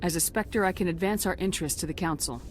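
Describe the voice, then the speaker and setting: A woman's voice: fairly low pitch, quick pace, soft background music, close to an everyday voice. A woman playing a composed, dutiful military starship commander in a video game, speaking formally and respectfully. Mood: respectful, firm.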